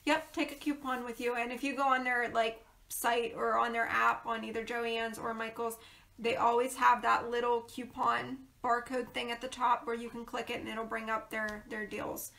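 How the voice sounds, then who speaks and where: Woman, casual crafting host, rambling, losing voice, bright tone, higher pitch. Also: little pitch movement, normal speed, creaky. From Pennsylvania, USA. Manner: chatty, rambling, frequent ums and laughs. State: losing her voice, coughing. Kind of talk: casual crafting livestream chat.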